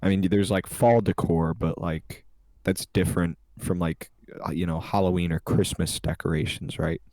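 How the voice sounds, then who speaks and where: A young man with a very low voice, talking loosely in a casual podcast chat. He rambles crudely and restarts his sentences often. The sound is dry and close to the mic. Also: steady tone, moderate pace, a little mellow, no echo. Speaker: podcast co-host.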